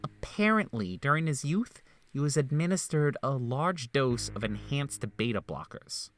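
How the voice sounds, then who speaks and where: Man acting a sardonic, animated doctor. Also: medium pitch, normal speed, faint music behind, close to an everyday voice. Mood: wryly intrigued. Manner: sardonic, theatrical. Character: wry, sardonic male doctor, theatrical and smug. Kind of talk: game dub voice acting.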